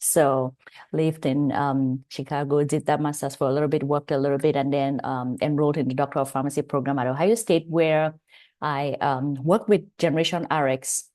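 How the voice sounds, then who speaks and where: A woman with a Cameroonian accent speaks in a low, dark, mellow voice. She gives a webinar talk over a video call, chatty and personal, with asides to her listeners. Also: fairly even intonation, moderate pace. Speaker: guest presenter, pharmacist. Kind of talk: webinar presentation.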